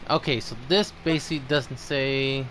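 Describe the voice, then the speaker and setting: A man hosting a game livestream in casual chat, thinking aloud in a halting way. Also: mid-range voice, steady tone, a crowd in the background.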